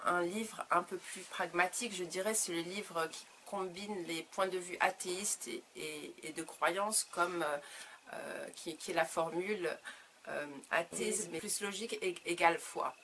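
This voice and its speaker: A woman speaking her native French as an author in an interview, reflective and halting as she searches for words. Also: fairly low pitch, sharp tone, a little hiss or hum. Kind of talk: reflective interview answers about own work.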